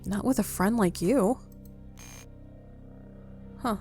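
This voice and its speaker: A woman's voice: mid-range voice, slow, dark tone, soft background music. A woman giving casual commentary as she plays a game, reacting aloud and talking back. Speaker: game player.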